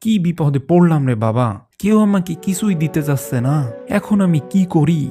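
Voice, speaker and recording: A man's voice: a little high, expressive, normal speed, warm tone, soft background music, lightly acted. A man voicing a greedy, self-pitying woodcutter in a cartoon folk tale. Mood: self-pitying, worried. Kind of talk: animated folk-tale dialogue.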